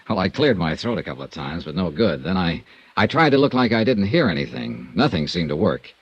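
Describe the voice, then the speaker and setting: Give the cool investigator of an old radio drama. He is wry and understated, with a lively, swinging delivery and a husky low voice. The sound is a dull, dry vintage broadcast recording. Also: dry recording, heavily acted. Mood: dry, easygoing. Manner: dry, understated, measured first-person narration and talk. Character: cool, wry male freelance insurance investigator. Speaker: insurance investigator, narrator and lead. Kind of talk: 1950s radio detective drama acting.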